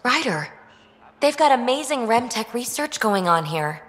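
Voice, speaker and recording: Breezy, playful young alien adventurer. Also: female voice, a little high, sing-song, unhurried, fairly bright tone, long reverb tail. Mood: upbeat, teasing. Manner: breezy, teasing, informal. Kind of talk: video game dialogue.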